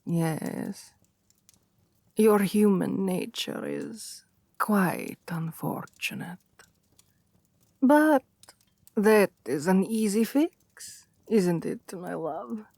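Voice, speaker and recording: A woman's voice: mid-range voice, expressive, drawn-out words, pauses often, dry recording, exaggerated voice. A woman voicing a seductive, domineering vampire queen in an intimate ASMR roleplay, haughty and possessive, with a languid, commanding purr that often drops toward a whisper. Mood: seductive, coaxing. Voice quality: breathy, often dropping to whispers. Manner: languid, condescending, commanding purr with soft chuckles.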